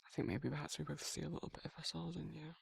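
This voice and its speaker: Gravelly, halting British male werewolf, voiced by a man. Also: on the high side, fairly even intonation, no echo, clean studio sound, heavily acted. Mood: fond, reflective. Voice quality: husky, gravelly, breathy. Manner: halting, hesitant, self-correcting, softly murmured. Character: gruff protective male werewolf, awkward, tender, shy. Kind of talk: intimate ASMR romantic roleplay.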